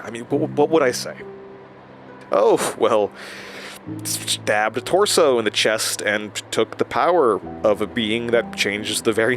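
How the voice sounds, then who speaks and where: Slow, haunted horror-drama narrator. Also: male voice, mid-range voice, a bit bright. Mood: anxious, halting. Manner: halting, confessional, dramatic pauses. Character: haunted young man confiding his supernatural ordeal, weary, rattled. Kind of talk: first-person horror audio drama monologue. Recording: music behind the voice.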